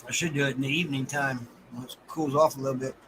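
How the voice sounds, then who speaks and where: Casting: American male livestream host, folksy and colloquial, very bright forward voice, some background noise. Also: medium pitch, fairly even intonation, quick pace, rough recording. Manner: folksy, colloquial, addresses viewers by name. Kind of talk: casual livestream chat with viewers.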